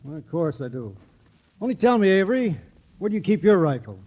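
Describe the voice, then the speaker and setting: A man's voice: high-pitched, sing-song, a little fast, mellow, slightly rough, heavily acted, rough recording. An actor playing a plain-spoken, shrewd male small-town constable in a 1940s radio drama. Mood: shrewd, official.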